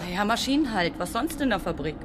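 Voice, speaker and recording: A woman acting a stubborn, sharp-tongued character in a radio drama, insistent and sarcastic. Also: mid-range voice, very animated, fast, noisy background, lightly acted. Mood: argumentative, sarcastic. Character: stubborn, contrary, sharp-tongued woman who argues logically.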